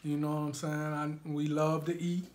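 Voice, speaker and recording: A man from Alabama with a Southern country twang, hoarse from a cold, chatting in a laid-back, rambling way as co-host of a couple's vlog. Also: on the high side, level tone, moderate pace, a little mellow. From Alabama, USA. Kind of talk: casual couple vlog chat.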